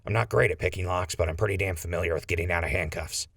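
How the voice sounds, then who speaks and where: Rough ringleader played by a man, low-pitched and gravelly, terse and practical, for a wasteland audio drama. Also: fast talker, a bit bright, dry recording, clean studio sound, exaggerated voice. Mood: practical, dry. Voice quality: husky, gravelly. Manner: terse, giving orders. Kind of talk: post-apocalyptic audio drama acting.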